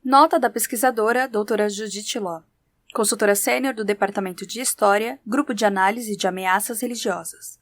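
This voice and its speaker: A woman's voice: medium pitch, steady tone, a little fast, close to an everyday voice. A woman playing a research doctor who reads analytical notes formally and precisely in an audio drama. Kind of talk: scripted audio drama narration.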